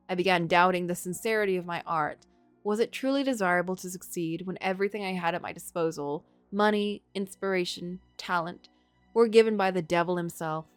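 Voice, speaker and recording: A woman's voice: fairly low pitch, clear, resonant tone, no echo, close to an everyday voice. Woman voicing a tormented, brooding middle-aged male writer. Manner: brooding, melodramatic, literary. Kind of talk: dramatic first-person reading of game story.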